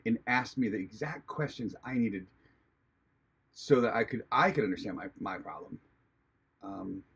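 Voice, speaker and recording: A man from Hawaii telling a personal spiritual story in a halting, earnest way, with many restarts. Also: mid-range voice, creaky, slightly rough. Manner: earnest, reflective. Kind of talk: personal testimony, casual storytelling.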